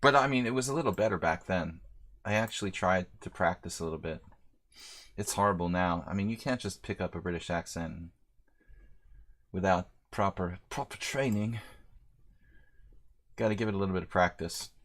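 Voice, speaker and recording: A man's voice: sits low, moderate pace, long pauses, a bit bright. A man streaming a chess simul, chatty and self-deprecating, thinking aloud over his moves. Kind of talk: live-stream commentary.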